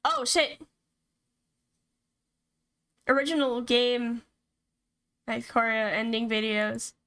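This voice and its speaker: A woman streamer reading a horror visual novel aloud and reacting to it in an expressive, casually sweary way. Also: a little high, takes it slowly, slight background noise. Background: game music.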